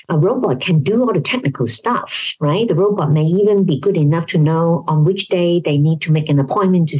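A woman's voice: low voice, darkish tone, husky, low-quality recording. A woman explaining her views as an expert interview guest, opinionated and emphatic, often posing rhetorical questions. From Hong Kong. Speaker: expert guest, health policy professor. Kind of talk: interview answers, explanatory talk.

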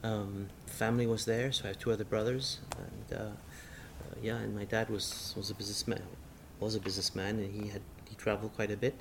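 Middle-aged chef, low gravelly voice, halting and restarting; faint room noise behind. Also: male voice, little pitch movement. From Singapore. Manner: halting, many restarts and fillers. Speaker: chef interviewee. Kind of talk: casual interview.

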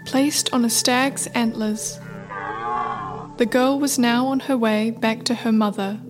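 A woman's voice: on the high side, steady tone, slow. A woman narrating a scripted folktale as the storyteller of a radio play. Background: music.

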